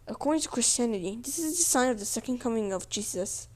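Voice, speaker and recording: A woman delivering a mock emergency broadcast announcement in a halting way, repeating words. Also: a little high, animated, darkish tone. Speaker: narrator of a mock emergency alert.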